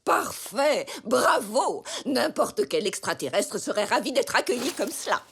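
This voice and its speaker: A woman voicing a gentle, indulgent older zebra grandmother in a French children's cartoon. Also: fairly high pitch, unhurried, vocal fry, background noise, heavily acted. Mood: kindly, encouraging. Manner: gentle, animated, encouraging.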